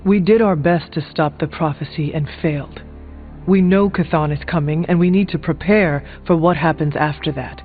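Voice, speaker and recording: Game warrior hero, male actor: stern, resolute, blunt, formal diction, somewhat high male voice, narrow phone-like sound. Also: steady tone, moderate pace, close to an everyday voice. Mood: solemn, earnest. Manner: formal, uncontracted speech, solemn, blunt. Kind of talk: video game character dialogue. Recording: thin phone-like audio, music behind.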